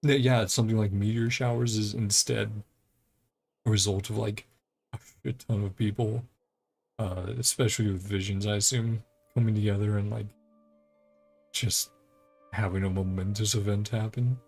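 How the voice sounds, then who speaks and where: Very low-voiced, creaky woman gaming. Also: steady tone, moderate pace, pauses often, a little mellow, soft background music. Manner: rambling, thinking aloud. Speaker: game streamer. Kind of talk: casual let's-play commentary, reading game dialogue aloud.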